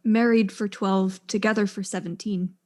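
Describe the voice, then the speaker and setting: A woman playing a proud, composed widowed scientist in a mystery drama, speaking in a measured, earnest way. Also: medium pitch, steady tone, a little mellow, lightly acted. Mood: wistful pride. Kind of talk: acted mystery audio drama.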